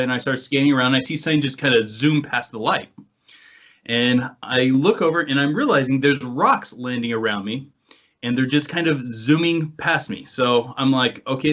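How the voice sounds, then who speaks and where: An American man hosting a podcast, telling anecdotes in a casual, animated storytelling way. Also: mid-range voice. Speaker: podcast host and paranormal investigator. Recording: dull, lo-fi online call audio.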